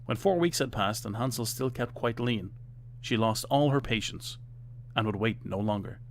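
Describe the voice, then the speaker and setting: A man's voice: medium pitch, moderate pace, a little hiss or hum. A man reading a fairytale aloud as scripted storybook narration.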